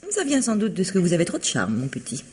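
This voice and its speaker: Husky-voiced genteel wife. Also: female voice, on the low side, huge pitch swings, quick pace, darkish tone, heavily acted. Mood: gracious. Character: genteel, scheming wife feigning anxious worry. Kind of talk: 1950s French radio mystery acting.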